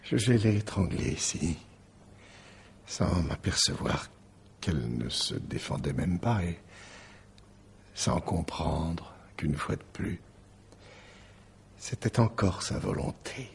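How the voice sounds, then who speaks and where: An actor playing a tormented, bitter lover in a French radio drama, confessing in a husky, gravelly, brooding voice. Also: male voice, sits low, expressive, slow pace, long pauses, a little hiss or hum, heavily acted. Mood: grim, resigned.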